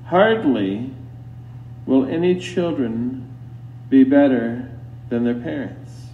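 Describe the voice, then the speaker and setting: Slow, solemn male priest preaching, distant and echoey. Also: mid-range voice, lively intonation. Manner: solemn, deliberate, admonishing, with long pauses. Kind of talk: sermon. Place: inside a church. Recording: roomy church, distant mic, dull, faint hum.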